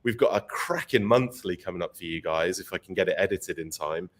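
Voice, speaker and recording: A man hosting a live podcast stream, his voice croaky from illness, chatty and laughing often. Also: low voice, brisk. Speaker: podcast host. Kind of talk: casual live-stream chat.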